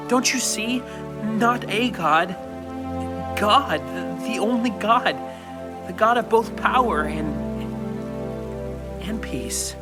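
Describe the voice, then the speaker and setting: An actor playing a humble, earnest runaway slave, speaking hesitantly and with heartfelt feeling in a Bible drama. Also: male voice, high-pitched, takes it slowly, pauses often, big-room sound, over music. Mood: fervent.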